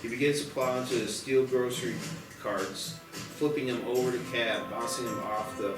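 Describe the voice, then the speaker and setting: A man's voice: mid-range voice, steady tone, moderate pace, far from the mic. Male screenplay narrator in a roomy space. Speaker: stage-direction reader. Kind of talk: scripted screenplay narration at a table read. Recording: roomy, off-mic, music behind.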